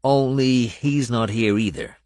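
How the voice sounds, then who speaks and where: A male narrator voicing a gruff, wry warrior who talks in a blunt, earthy way. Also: medium pitch, unhurried, a little mellow, dry recording, clean studio sound, pitched above the performer's own voice, close to an everyday voice. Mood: matter-of-fact.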